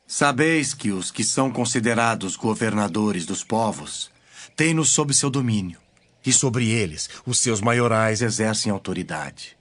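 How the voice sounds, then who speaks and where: An actor with a Brazilian accent playing a solemn, authoritative male teacher in a measured, declamatory scripture drama. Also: mid-range voice, fairly bright tone. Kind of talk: dramatized scripture reading in formal Portuguese. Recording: music bed behind the voice.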